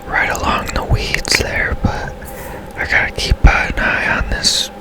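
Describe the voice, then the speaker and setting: Male ASMR gaming streamer; breathy whisper throughout, slow, musing play-by-play. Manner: unhurried, hushed musing. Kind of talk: whispered ASMR game commentary.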